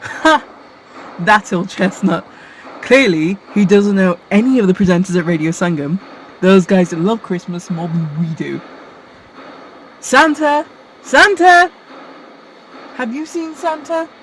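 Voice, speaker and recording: A woman's voice: mid-range voice, lively intonation, slow pace, pauses often, slight background noise, low-quality recording. A woman playing a bossy, sarcastic, exasperated supervisor in Santa's workshop in a radio play. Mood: brisk. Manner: scolding, exasperated.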